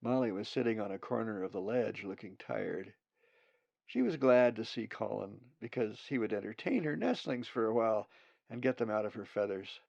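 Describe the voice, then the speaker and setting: A man's voice: fairly low pitch, moderate pace, gravelly, darkish tone, dry recording, lo-fi sound. A man reading scripted storybook narration as the author of his own audiobook.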